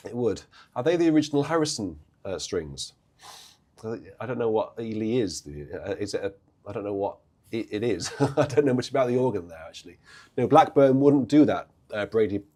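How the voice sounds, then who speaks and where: A hoarse man, chatting haltingly on a live stream about church organs. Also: fairly low pitch, animated, dark tone. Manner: halting, chatty, many restarts and fillers. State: hoarse, coming down with a cold. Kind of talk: casual live-stream chat.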